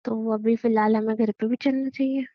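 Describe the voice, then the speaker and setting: A woman acts out a young wife in a romantic call drama, worried and questioning yet teasing. Her voice sits fairly high. The sound is close and dry, a bit dull and lo-fi. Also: steady tone, normal speed, no echo, natural voice. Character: worried, doubtful young wife, playfully threatening. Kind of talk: romantic phone-call style audio drama in Hindi.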